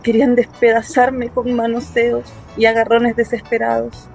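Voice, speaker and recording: A woman's voice: a little high, monotone, moderate pace, creaky, a little mellow. A woman playing a young working-class Chilean daughter in a dramatic first-person radio play. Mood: haunted, tense. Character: young working-class daughter recounting her assault, wounded, bitter. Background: music.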